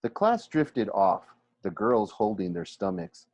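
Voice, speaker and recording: A man with a low voice narrates a story as a teacher would, with expression. He sometimes trips over a phrase and corrects it, and the recording is close and dry. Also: sing-song, unhurried, darkish tone, no echo. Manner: stumbles and corrects misreadings. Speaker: teacher reading aloud.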